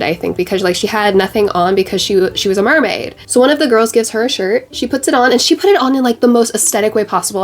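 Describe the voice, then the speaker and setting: A young woman with a congested, stuffy voice from a cold, chatting casually as a beauty vlogger while doing her makeup, full of bubbly "like" fillers. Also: medium pitch. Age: young adult.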